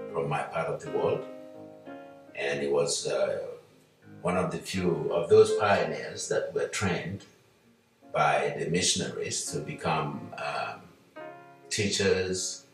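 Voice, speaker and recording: An older man from Nigeria speaks in a very deep, unhurried voice, pausing often as he recalls his past. He sounds set back from the mic in a strongly echoing room. Soft guitar music plays underneath. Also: recorded from a distance. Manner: slow, hesitant with many fillers. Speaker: interview guest, musician. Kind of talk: relaxed reflective storytelling.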